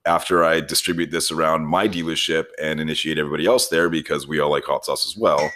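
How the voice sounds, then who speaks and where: Male podcast host, low register, halting and informal, lots of fillers. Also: steady tone, bright, forceful tone, a little hiss or hum. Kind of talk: casual group chat.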